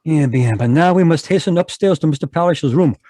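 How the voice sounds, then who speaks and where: An actor playing a fussy, courtly Belgian detective, in a live radio drama over a video call. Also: male voice, fairly high pitch, a little fast, dark tone, no room sound, clean studio sound, natural voice. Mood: smug, courtly. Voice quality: precise, courtly French-inflected delivery. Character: fussy male Belgian detective, polite and sly.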